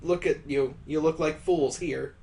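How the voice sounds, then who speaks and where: A bossy young daughter from a visual novel, as a game streamer puts her on, blunt and teasing. She scolds and orders people around in a mid-pitched voice. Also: steady tone, a little slow, mellow, natural voice. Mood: scornful, scolding. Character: bossy, teasing birthday girl, mocking her father.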